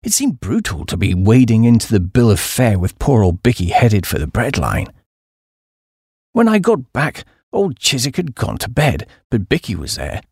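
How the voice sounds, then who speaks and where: A man's voice: mid-range voice, a little mellow, slightly rough, dry recording, clean studio sound. A man with a British accent reading a comic story as an audiobook narrator.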